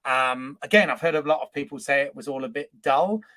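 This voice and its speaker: A man's voice: mid-range voice, fairly quick, sharp tone. A man hosting a casual podcast discussion, chatty and self-deprecating, laughing at his own nitpicks. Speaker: podcast host.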